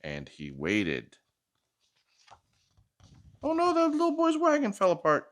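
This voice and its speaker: A man's voice: sits high, huge pitch swings, slow. A man hosting a children's storytime, reading a picture book expressively with playful, chatty asides to a child. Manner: playful, asking questions and echoing the child's answers.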